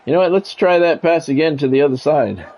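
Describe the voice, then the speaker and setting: A man's voice: fairly high pitch, lively intonation, quick pace, a little mellow, some chatter behind. A middle-aged man commentating a sports video game in radio play-by-play style, with short clipped calls and casual, salty asides. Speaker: gaming streamer and play-by-play commentator.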